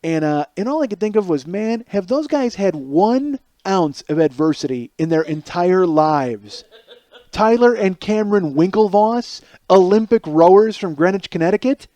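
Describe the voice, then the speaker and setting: Guy in his 40s w a lisp, rambly jokey podcast host, kinda high mellow voice. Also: moderate pace, no room sound. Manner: rambling, self-mocking, animated storytelling. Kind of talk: solo comedic monologue.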